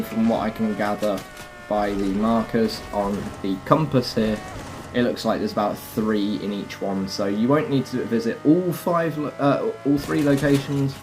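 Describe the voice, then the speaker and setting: A man hosting a gaming video, giving a casual walkthrough and explaining each step directly to viewers. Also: fairly low pitch. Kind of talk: casual game walkthrough commentary.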